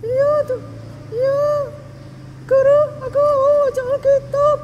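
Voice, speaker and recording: Squeaky little girl Nancy. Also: flat delivery, a little mellow, in a large hall, dull audio, slight background noise. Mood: eager. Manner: high squeaky childlike voice, drawn-out words. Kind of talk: puppet-style Sunday school skit.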